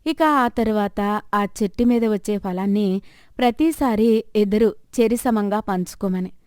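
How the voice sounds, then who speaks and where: A woman storyteller narrates a scripted moral tale. Her voice sits at a middle pitch, and the recording is very dry, with no room sound. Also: measured pace, a little mellow, clean tone, no echo.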